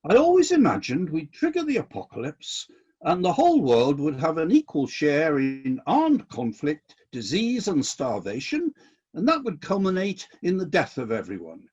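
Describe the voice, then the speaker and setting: A man acting in a radio play as God, a disillusioned but decisive ruler of heaven, with indignant questions and deliberate commands. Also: on the high side, huge pitch swings, darkish tone, husky, muffled recording, heavily acted. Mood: incredulous, dismayed.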